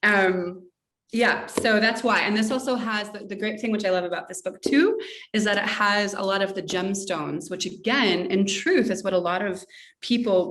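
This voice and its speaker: Lower-pitched woman, rambling and effusive. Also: recorded from a distance. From Russia. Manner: effusive, rambling, circles back mid-sentence. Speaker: author, interview guest. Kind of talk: casual interview chat. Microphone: video call.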